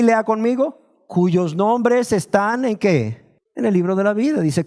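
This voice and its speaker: A man from Nicaragua, preaching a sermon, asking rhetorical questions and slowing down to stress key words. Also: high-pitched, a little mellow.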